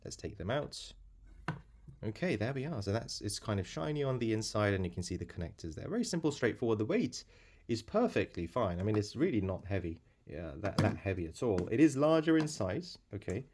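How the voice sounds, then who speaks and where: A man with a fairly low-pitched voice talks through an unboxing in a casual way. He thinks aloud as he goes, wandering between remarks and often repeating himself like an informal product reviewer. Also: sing-song, fairly quick, a little mellow, slight background noise.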